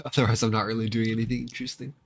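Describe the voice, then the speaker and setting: A man's voice: fairly low pitch, little pitch movement, rapid-fire. A man giving casual, self-deprecating commentary as he plays a video game. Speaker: gaming channel host. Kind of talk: casual let's play commentary. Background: faint music.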